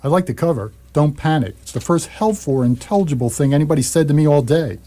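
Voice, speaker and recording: Bewildered, sarcastic Earthman played by a man, mellow voice. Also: fairly high pitch, fast, close to an everyday voice. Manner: deadpan, incredulous. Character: bewildered, dryly sarcastic stranded Earth human. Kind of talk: radio comedy dialogue.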